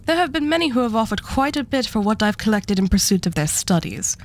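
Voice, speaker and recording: Lady doing an asmr roleplay arch devil woman, haughty collector of relics, slow polite menace, talks down to you. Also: on the high side, big pitch swings. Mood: coolly menacing. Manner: condescending, unhurried, politely menacing. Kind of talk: scripted ASMR roleplay acting.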